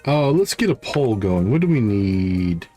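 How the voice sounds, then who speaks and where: Man streaming casually, lively swinging pitch. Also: measured pace, faint music behind. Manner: thinks aloud in short fragments; chatty, addresses viewers. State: slight catch in throat, clearing it. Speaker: livestream host, gamer. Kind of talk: casual live game commentary.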